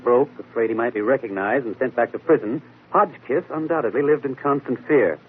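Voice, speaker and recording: A man acting a keen, thoughtful amateur detective in a 1940s radio mystery, speaking in a measured, deliberate way. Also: mid-range voice, breathy edge, close to an everyday voice. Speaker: detective lead who also hosts the show. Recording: tinny, noisy old radio broadcast.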